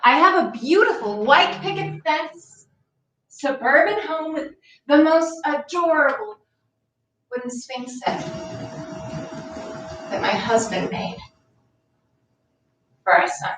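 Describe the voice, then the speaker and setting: A woman's voice: sits high, lively intonation, slow pace, pauses often, fairly bright tone, slight background noise, full character voice. A woman playing a bitter, privileged suburban wife and mother in a staged play reading, emphatic and exasperated. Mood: tense, brittle. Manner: profane ranting, emphatic. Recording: echoey room, far from mic.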